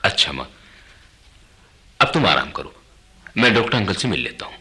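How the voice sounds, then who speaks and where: An actor playing a worried, dutiful grown son and husband in a radio drama, speaking earnestly and deliberately. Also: male voice, low voice, big pitch swings. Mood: caring, reassuring. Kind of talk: Hindi radio drama acting.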